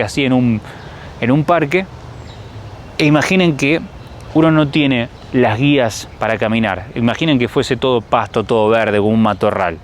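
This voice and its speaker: A man from Rosario, Argentina, speaking in a relaxed, reflective way as he thinks out loud and talks straight to his viewers. His voice sits at a middle pitch with a Rosario accent. Faint city traffic can be heard outdoors behind him. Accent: Argentine Spanish, Rosario. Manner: reflective, thinks aloud, addresses viewers directly. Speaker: travel vlogger. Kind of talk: casual talk to camera.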